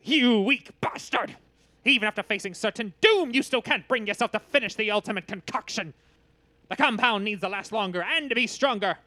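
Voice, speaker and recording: A man's voice: sits high, a little fast, bright, forceful tone, slight background noise. A man voicing a nervous, stammering, overmedicated teenage boy plotting villainy. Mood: frantic. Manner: jittery, stammering, melodramatic.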